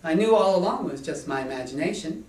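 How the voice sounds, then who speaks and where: A man's voice: on the high side, animated, fast talker, a little mellow, lightly acted. Man as earnest little girl, echoey room. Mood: stubborn, certain. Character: innocent little girl, earnest and trusting storybook child. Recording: echoey room, distant microphone.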